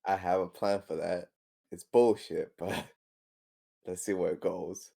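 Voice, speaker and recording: A man's voice: sits low, fairly even intonation, unhurried, vocal fry, warm tone. A man playing a tabletop roleplaying game, speaking casually with the other players.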